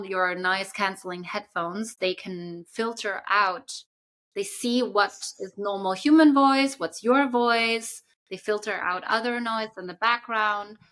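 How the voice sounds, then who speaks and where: Woman, young adult, bright voice, podcast co-host, casual chat, thoughtful, many fillers. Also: mid-range voice.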